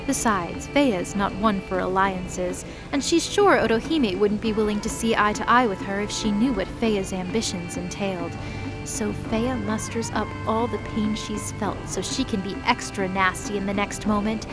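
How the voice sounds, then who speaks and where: A woman's voice: medium pitch, rough recording. Woman narrating a fantasy drama over music. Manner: steady, measured storytelling.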